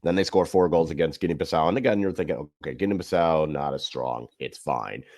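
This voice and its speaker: A man's voice: a bass voice, fairly quick, darkish tone, dry recording, studio quality. A man co-hosting a podcast, casually discussing football in long, loosely built explanations.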